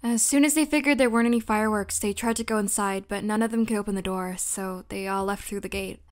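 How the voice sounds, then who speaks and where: A woman voicing a gentle, dutiful young witch in an amateur roleplay, sincere and soft. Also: medium pitch, fairly even intonation, fast, natural voice. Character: young female witch protector, tender and dutiful.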